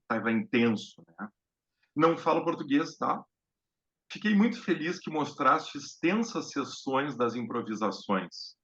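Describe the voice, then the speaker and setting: A man from Brazil hosts a casual live-stream lecture, stopping to restart his sentences and filling pauses as he goes. His voice has a gravelly edge. The sound is dull and lo-fi, like a home stream. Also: medium pitch, fairly bright tone. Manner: hesitant, many fillers and restarts. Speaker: host of a live talk on music therapy.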